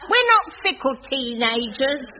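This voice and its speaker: Radio comedy: brazen older charwoman, high and very animated, insistent, slightly noisy old recording. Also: measured pace, muffled recording. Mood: flirtatious. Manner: flirtatious, insistent, colloquial. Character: over-amorous, brazen older cleaning woman, easily huffy. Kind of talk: 1980s radio sitcom acting.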